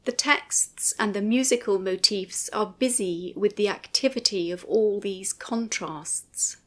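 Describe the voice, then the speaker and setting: A woman reading a scripted commentary in a measured, formal and precise manner. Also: medium pitch, a bit bright. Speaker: narrator. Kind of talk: scripted documentary commentary, read aloud.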